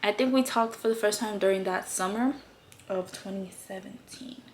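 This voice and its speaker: A woman's voice: mid-range voice, moderate pace, darkish tone, slight background noise. A young woman vlogging while she does her makeup, telling a candid story in a chatty way, with lots of fillers and swearing, in a slightly babyish voice. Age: young adult. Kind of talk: casual get-ready-with-me storytime. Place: home, doing makeup.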